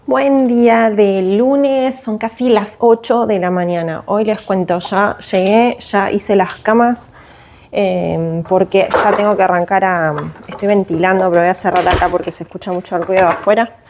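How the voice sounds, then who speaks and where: A woman in her thirties with an Argentine Spanish accent chats to the camera at home in a casual vlog. Her voice sits at a middle pitch for a woman. She talks loosely, with plenty of fillers and self-corrections. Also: fairly even intonation, normal speed, a little mellow, slight background noise. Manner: chatty, many fillers and restarts. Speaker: vlogger and mother. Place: at home, indoors.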